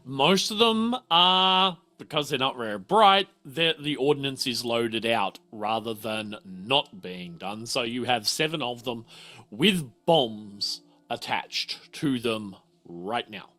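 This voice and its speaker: A man explaining things as game master at a tabletop game, in a halting, explanatory way. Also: medium pitch, animated, bright, forceful tone.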